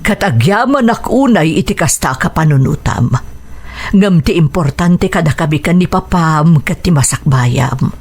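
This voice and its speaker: An actress playing a gentle middle-aged Ilocano mother, soothing and expressive, in a radio drama. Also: deep voice, measured pace, full character voice. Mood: soothing, concerned.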